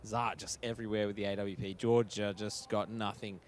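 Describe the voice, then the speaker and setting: A man's voice: fairly low pitch, little pitch movement, fairly quick. Joking man doing color commentary. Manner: joking, analytical banter.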